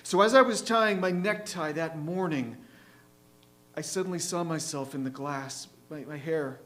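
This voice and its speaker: A man playing a bitter, worn-out, grey-haired store clerk in a dramatic poetry monologue, halting and weary. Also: fairly high pitch, fairly bright tone. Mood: weary, resentful.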